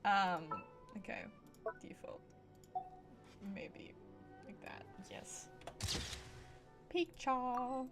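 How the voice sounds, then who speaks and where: A woman gives casual live commentary on a game in a fairly high voice. She takes her time, dropping short, playful muttered asides to herself. Game music is clearly audible underneath. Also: extremely slow, pauses often. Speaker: game streamer.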